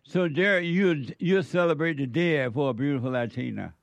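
A man's voice: on the high side, normal speed, gravelly, no echo. A man hosting a call-in talk radio show, talking in a brash, joking way and laughing often.

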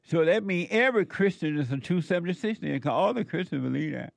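A man's voice: fairly high pitch, expressive, quick pace, gravelly, no echo. A man hosting a casual chat who reacts to posts with laughter and playful repetition. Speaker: show host.

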